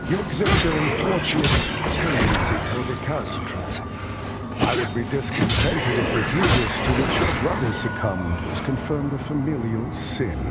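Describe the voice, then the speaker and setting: A man playing a stern, haughty religious superior, pompous and severe, in a dark fantasy game cutscene. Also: mid-range voice, lightly acted.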